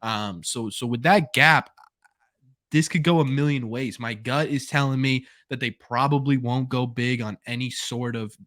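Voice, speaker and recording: A man's voice: medium pitch, normal speed, a bit bright, dry recording, studio quality. A man guesting on a sports podcast, speculating thoughtfully about his team's options. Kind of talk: casual sports analysis.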